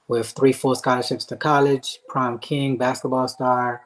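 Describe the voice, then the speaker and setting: Man, from Haiti, guest life coach, earnest, faith-driven, frequent pauses, mid pitch. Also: level tone, poor audio. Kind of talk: interview answers, earnest storytelling.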